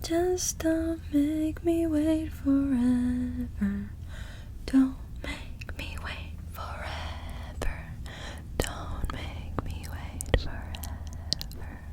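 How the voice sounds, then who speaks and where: A woman doing ASMR, singing softly and gently in a breathy voice that often drops into a whisper. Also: high voice, steady tone, very slow. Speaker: ASMR performer.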